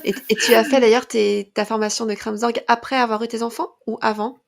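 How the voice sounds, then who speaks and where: A woman's voice: a little high, little pitch movement, a little fast, gravelly. A woman interviewing a guest in a casual chat, giving short encouraging murmurs.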